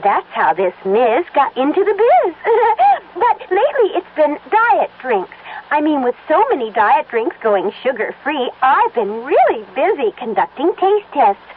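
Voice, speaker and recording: Perky fairy-tale taste tester, high bright voice, lively swoops, thin old broadcast sound. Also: female voice. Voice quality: bubbly, giggly, coy. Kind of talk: 1970s radio commercial acting. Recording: tinny lo-fi old radio broadcast.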